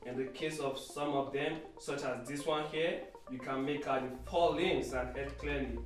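Guy playing a military analyst explaining stuff calmly, far off and echoey. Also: medium pitch, natural voice. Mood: matter-of-fact. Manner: measured, explanatory. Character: matter-of-fact male military image analyst giving briefing. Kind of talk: briefing in a radio drama. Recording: very echoey, distant, faint music.

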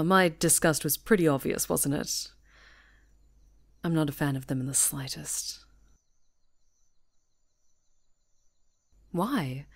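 A friendly young woman camping out alone on a retreat, as a woman plays her in an audio roleplay, chatty and teasing, laughing easily as she talks. Her voice sits a little low for a woman, with faint outdoor noise behind it. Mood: disgusted. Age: young adult. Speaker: ASMR roleplay performer.

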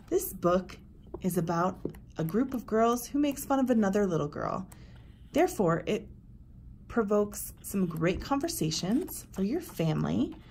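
Female presenter, 30s to 40s, unhurried and deliberate delivery, medium pitch, emphatic phrasing. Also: slight background noise. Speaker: presenter for a homeschool curriculum blog. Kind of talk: book recommendation walk-through to camera.

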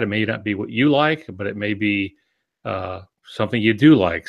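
Guy in his 60s, lowish voice, podcast co-host explaining stuff on a video call, pauses a lot mid sentence. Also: a bit bright. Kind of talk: conversational explaining on a writing podcast. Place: home office, indoors. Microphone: video call with earphones.

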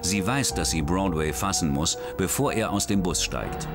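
Male documentary narrator, low register, scripted crime storytelling, music underneath. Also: moderate pace. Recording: music bed under voice-over. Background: background music.

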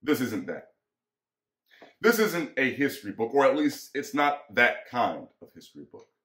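The room echoes around a man in his twenties. He reads aloud in a mid-range voice, talking straight to the listener. His pauses are deliberate and conversational. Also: mid-range voice, sing-song, fairly bright tone. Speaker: performer reading a book aloud. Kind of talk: conversational dramatic book reading. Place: small room indoors, seated.

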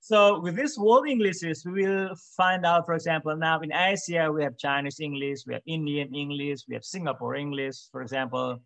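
A middle-aged man giving an academic webinar talk over a video call, measured, with many "for example"s and pauses. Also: fairly high pitch, steady tone, vocal fry, a bit bright, dry recording. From Indonesia. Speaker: webinar speaker.

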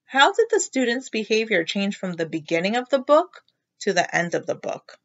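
Lady teacher doing read-aloud for kids, gravelly fry, bit muffled lo-fi. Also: medium pitch, very animated, normal speed, a bit bright. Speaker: teacher reading aloud to children. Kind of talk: storybook read-aloud narration. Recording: slightly dull, lo-fi sound.

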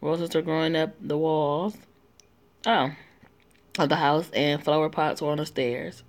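A woman's voice: low-pitched, steady tone, a little slow. A woman reading a story game aloud, voicing every part and breaking off for joking asides. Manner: reads all parts, breaks off with joking asides. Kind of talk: casual read-aloud with asides.

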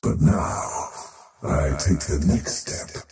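Deep, echoing arrogant void creature. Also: male voice, a little mellow, far from the mic, soft background music. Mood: grandiose, ominous. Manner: grandiose, menacing, deliberate theatrical delivery. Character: faceless male void creature, cold arrogant oathbreaking acolyte of time. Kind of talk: scripted fantasy video game character lines.

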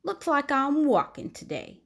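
A snappish, grumpy little storybook bird, as a woman reader puts him on. He is emphatic and exasperated, with pitch that leaps up sharply on stressed words. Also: sing-song, measured pace, full character voice.

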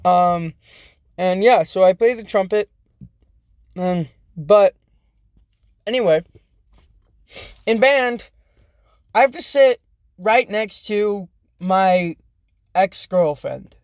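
A young man rambling in a casual vlog from inside a car, halting and self-deprecating, with many restarts. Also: high-pitched, a little mellow, no room sound, studio quality. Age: young adult. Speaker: vlogger. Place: inside a parked car, daytime. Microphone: phone camera held close.

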